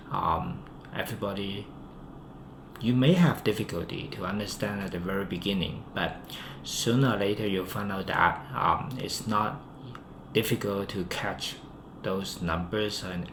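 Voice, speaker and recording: A man speaking English with a Hong Kong accent, Cantonese his first language, talking on his own about stocks with many pauses and fillers. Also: deep voice, fairly even intonation. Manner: unhurried, with frequent um and uh and loud emphatic phrase starts. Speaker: finance YouTuber. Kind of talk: solo monologue to camera. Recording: roomy, with faint background noise.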